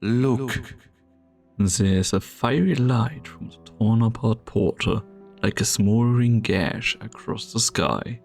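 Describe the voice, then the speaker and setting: A man playing a brooding, world-weary strategist in a fantasy game fan dub, delivering solemn, theatrical monologues with a gravelly voice. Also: fairly low pitch, takes it slowly, darkish tone, recorded from a distance. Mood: solemn, reverent. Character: brooding, world-weary male strategist called Doctor, torn and grandiose. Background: background music.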